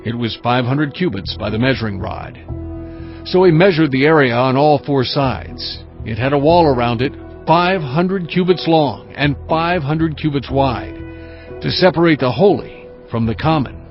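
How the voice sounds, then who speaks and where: An actor playing a prophet who recounts his vision in measured, solemn, earnest scripture narration. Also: mid-range voice, lively intonation, lightly acted. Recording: dull sound over music bed.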